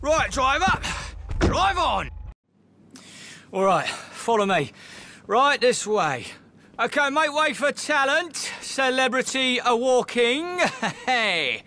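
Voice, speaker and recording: Cocky British club promoter, high-voiced. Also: male voice, sing-song, slow, pushed and bright, heavily acted. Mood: swaggering. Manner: teasing, swaggering. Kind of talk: video game cutscene acting.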